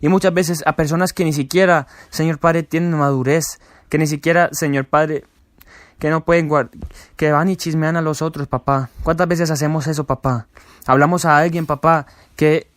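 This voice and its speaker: A man leading a fervent spoken prayer, repeating his address to God as a refrain and dropping soft and low on it. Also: dry recording. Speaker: prayer leader.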